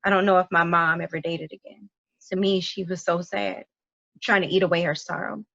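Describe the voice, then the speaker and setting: A woman's voice: on the low side, fairly even intonation, fairly quick, creaky, a bit bright, dry recording, lo-fi sound. A woman playing a hurt, exasperated young wife in a dramatic table read, speaking emotionally. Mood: wistful. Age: young adult. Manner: emotional, complaining.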